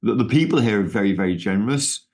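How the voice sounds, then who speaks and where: A man's voice: on the low side, animated, quick pace, a little mellow. A middle-aged man from England with a Manchester accent, talking as a guest in an interview, rambling with lots of fillers and restarts. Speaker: ministry founder, guest. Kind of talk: conversational interview.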